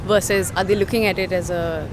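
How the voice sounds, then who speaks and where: A woman's voice: on the low side, steady tone, a little fast. A woman from India, interviewed on the street, answering in a composed, articulate way. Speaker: interviewee, expat. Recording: street recording with clear background noise.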